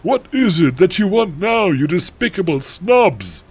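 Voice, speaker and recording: A man's voice: sits high, sing-song, a little slow, husky, voice pushed up, exaggerated voice. A man voicing a mean old wizard headmaster from fan fiction, angry and contemptuous. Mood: scornful.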